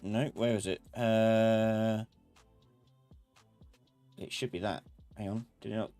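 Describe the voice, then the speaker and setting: A man giving a live software tutorial as an instructor, informally thinking aloud and talking to himself as he works. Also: lowish voice, flat delivery, takes it slowly, soft background music. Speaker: instructor of a live online class. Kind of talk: live software tutorial, explaining while working.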